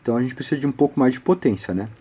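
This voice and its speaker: A Brazilian Portuguese-speaking man with a slightly rough, husky voice at an ordinary male pitch. He explains in an informal, step-by-step tutorial style, conversational and punctuated by frequent little check-in tags. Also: lively intonation, racing, warm tone. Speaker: audio electronics instructor, channel host.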